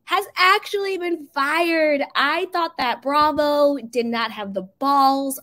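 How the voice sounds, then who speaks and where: A woman whose voice is raspy from being sick, giving sassy, emphatic celebrity gossip commentary on her channel. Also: high-pitched, fairly bright tone. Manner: sassy, emphatic, drawn-out stresses. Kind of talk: casual gossip commentary to camera.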